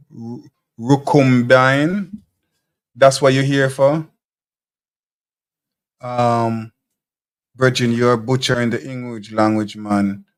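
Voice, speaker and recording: A Jamaican man hosting a live talk show, reading viewer comments aloud and answering them bluntly, often restarting his phrases. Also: medium pitch. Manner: blunt, combative replies to commenters; loose, repeats and restarts phrases. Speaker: show host.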